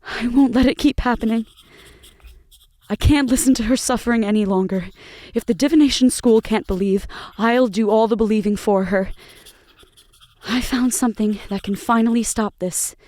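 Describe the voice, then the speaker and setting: A woman's voice: a little high, normal speed, dark tone, dry recording, lightly acted. A woman playing an anguished, determined young student in a dramatic audio drama monologue. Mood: anguished, resolute. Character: anguished, determined young female student reading her journal.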